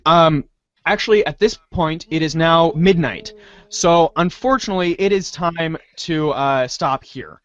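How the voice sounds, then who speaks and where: A man's voice: on the high side, measured pace, a bit bright, no echo, highs cut off. A man casually hosting a readers' theater show.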